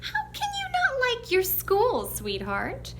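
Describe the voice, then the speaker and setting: A woman voicing a patient, coaxing, gently firm father in a storybook, with emphatic delivery. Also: very high voice, huge pitch swings, slow, background noise, voice pushed up, exaggerated voice. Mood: gently amused.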